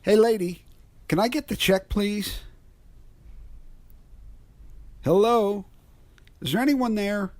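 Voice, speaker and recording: An actor playing a weary, skeptical truck driver with a husky, folksy, colloquial way of talking in a radio mystery drama. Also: male voice, high-pitched, measured pace. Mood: uneasy, calling out.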